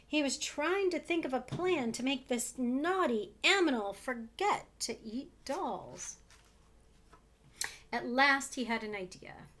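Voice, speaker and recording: A woman reads a picture book aloud to young children. Her voice is a little high and her pace is slow, with expressive narration and gentle explanatory asides. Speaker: storybook reader for children.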